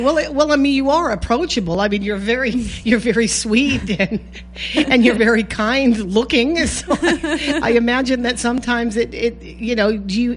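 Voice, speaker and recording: A woman's voice: on the high side, slight background noise. A woman hosting a radio talk show, asking chatty, playful follow-up questions in a casual interview.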